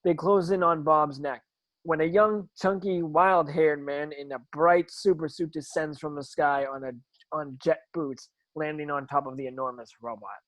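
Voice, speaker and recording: Higher-pitched man reading stage directions, dry sound. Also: steady tone, normal speed, a bit bright, no room sound, rough recording. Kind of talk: scripted screenplay narration.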